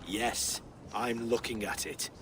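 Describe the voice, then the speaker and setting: A man's voice: mid-range voice, steady tone, slow pace, noisy background, natural voice. An actor playing an irritable, haughty Viking-age king, imperious and curt, in a dramatic video game. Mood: irritated.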